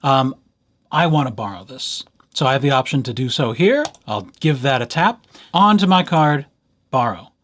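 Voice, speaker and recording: A man giving an informal software tutorial as a library instructor, talking conversationally with lightly playful asides. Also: medium pitch, lively intonation, moderate pace.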